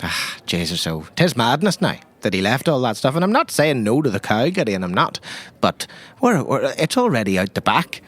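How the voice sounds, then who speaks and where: A man playing a gruff, sweary Irish tavern keeper, blunt and wry, in comic audio drama dialogue. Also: medium pitch, sing-song, moderate pace, fairly bright tone. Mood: resigned, blunt.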